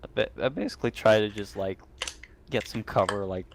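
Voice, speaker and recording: A man's voice: fairly low pitch, brisk, vocal fry. A man playing a tabletop roleplaying game, talking casually as one of the players. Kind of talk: casual chat. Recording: faint background noise.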